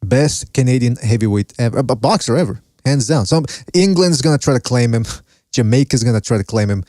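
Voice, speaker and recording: A man's voice: medium pitch, lively intonation, a little fast, darkish tone, no room sound, clean studio sound. A man from Mexico with a mixed foreign accent, hosting a solo boxing podcast and reading the live chat in a casual, rambling way with cursing and laughs. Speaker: podcast host.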